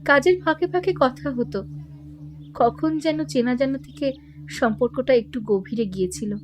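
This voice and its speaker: Over a noticeably noisy, humming bed comes a meek widow played by an actress. Her voice is high, plaintive and subdued. She sounds like a poor, resigned mother in an audio detective drama. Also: normal speed, natural voice. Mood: sorrowful, resigned.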